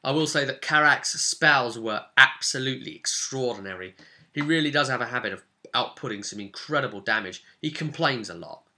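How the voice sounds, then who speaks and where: Bright, forceful man telling game stories. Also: medium pitch, lively intonation, fairly quick. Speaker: game master recapping sessions. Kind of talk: casual vlog recounting a tabletop role-playing game.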